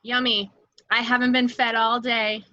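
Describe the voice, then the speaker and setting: A woman's voice: on the high side, level tone, a little slow, bright, forceful tone, muffled recording. A woman playing a sly, flirtatious villainess with a pout in a script table read. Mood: playful, hungry.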